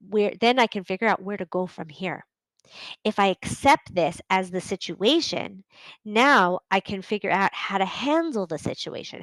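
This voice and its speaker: A woman's voice: medium pitch, no room sound, poor audio. A middle-aged woman hosting a solo podcast, talking earnestly and conversationally, often checking in with "right?". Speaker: podcast host and coach.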